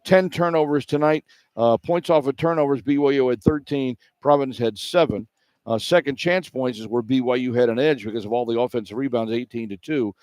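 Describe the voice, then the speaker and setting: A man's voice: mid-range voice, brisk, darkish tone, no echo. A man working as a play-by-play announcer on a sports radio broadcast, reading out statistics and updates.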